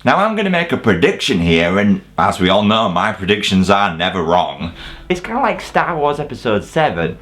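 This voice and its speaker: A man's voice: on the low side, very animated, normal speed, fairly bright tone. A British man hosting a video review, delivering scripted comedic countdown narration in a sarcastic, sweary, exaggerated way. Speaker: host of a video review channel.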